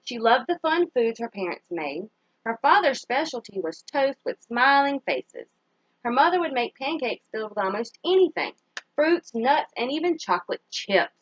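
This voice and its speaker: Animated, high-pitched woman reading to children. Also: dry recording, highs cut off. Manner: pauses to put simple questions to young listeners and praise their answers. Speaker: teacher reading a picture book aloud to children. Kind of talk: children's story read-aloud with questions to the listeners.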